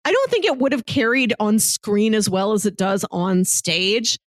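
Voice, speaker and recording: A woman's voice: mid-range voice, animated, normal speed, no echo, studio quality. A woman co-hosting a podcast, casually discussing film and theater and acting out quotes as she retells scenes. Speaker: podcast co-host.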